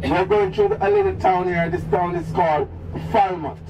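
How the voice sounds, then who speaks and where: A man's voice: sits high, huge pitch swings, normal speed, gravelly, highs cut off, background noise. A man with a Jamaican accent, a tour bus driver joking with passengers and repeating catchphrases. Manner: playful, repeats catchphrases, laughs between lines. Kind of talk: joking tour guide patter over a mic. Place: inside a crowded moving minibus. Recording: distant voice in a loud crowded bus.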